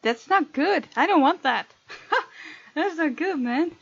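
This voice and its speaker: A woman's voice: high-pitched, animated, slow, gravelly, dry recording. A woman hosting a gaming video, commenting as she plays and reads a visual novel aloud. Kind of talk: let's play commentary and dramatic reading aloud. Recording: muffled lo-fi mic, music underneath. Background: game music.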